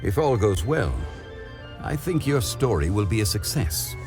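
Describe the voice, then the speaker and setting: An actor voicing a calm, wise male storyteller in a game, speaking in measured, formal phrases. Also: lowish voice, over music. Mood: thoughtful. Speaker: game character. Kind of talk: game cutscene voice acting.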